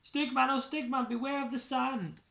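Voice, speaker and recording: Man doing a high singsong warning voice. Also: steady tone, normal speed, vocal fry, bright, forceful tone, reverberant, exaggerated voice. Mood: urgent warning. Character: singsong storybook voice calling warnings to stick man.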